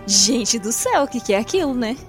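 A high-voiced Brazilian woman in a casual podcast chat. She talks in slangy, exclamatory bursts and leans hard on her opinions. Music plays softly behind her. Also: very animated, fairly quick. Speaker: podcast co-host.

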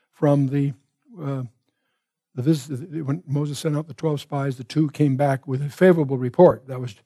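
An older man gives an expository Bible lesson. His voice is husky and mellow, pitched a little high for a man. He explains and restates in a measured way, recorded close and dry. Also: no echo. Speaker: Bible teacher. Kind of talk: expository Bible teaching with verse readings.

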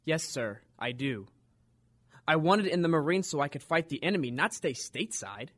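A man's voice: fairly high pitch, animated, moderate pace, a bit bright. A young man playing an eager, earnest teenage boy bound for the Marines in a radio drama. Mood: earnest, determined. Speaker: actor in an amateur radio drama.

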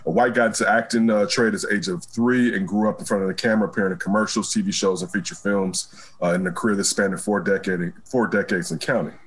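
A man hosting a casual pop culture chat, animated and laughing often, reading news aloud with lots of fillers. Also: lowish voice, slightly rough. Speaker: talk show host.

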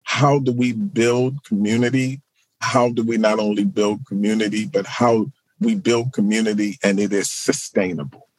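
A man's voice: fairly low pitch, fairly even intonation, normal speed, a little mellow, no echo, poor audio. A middle-aged man from Baltimore, a pastor and social work professor, answering interview questions in a reflective way with fillers and restarts. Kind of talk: interview guest.

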